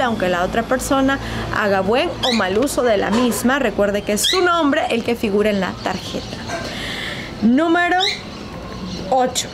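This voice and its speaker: A woman in her forties, a business coach, explaining money tips to camera in a lively way, with questions to the viewer, recorded outdoors on a balcony. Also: sits high, heavy background noise. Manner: lively, uses rhetorical questions and formal "usted" address. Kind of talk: informal financial advice talk to camera. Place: outdoor balcony overlooking hills.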